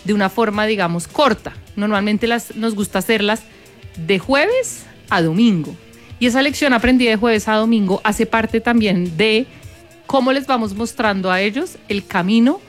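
A woman with a Bogotá Colombian accent, a guest on a talk program, explaining her work in measured, step-by-step answers. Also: medium pitch, soft background music. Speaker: guest, corporate sustainability manager.

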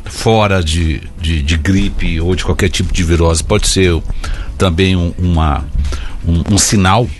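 Very deep-voiced male co-host. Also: steady tone, faint music behind. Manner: hesitant, halting questions. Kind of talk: radio talk show co-hosting.

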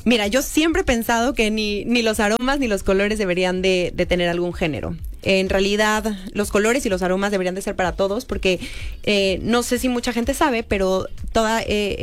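Earnest young woman with hesitant pauses. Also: medium pitch. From born in San Diego, raised Mexican. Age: young adult. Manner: earnest, many "eh" pauses and restarts. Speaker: perfume creator, guest. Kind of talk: interview answers.

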